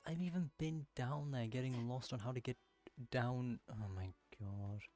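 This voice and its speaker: A man's voice: mid-range voice, unhurried, a little mellow, soft background music. A man giving casual gameplay commentary, muttering at himself in exasperation. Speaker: gameplay streamer.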